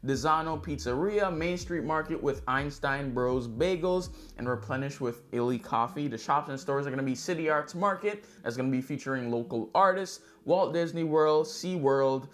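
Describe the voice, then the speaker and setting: A man's voice: on the high side, moderate pace, hardly any pauses, a bit bright. A man who works as a real estate agent hosts a news recap in a chatty, upbeat way, speaking directly to viewers. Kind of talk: vlog news recap to camera, conversational.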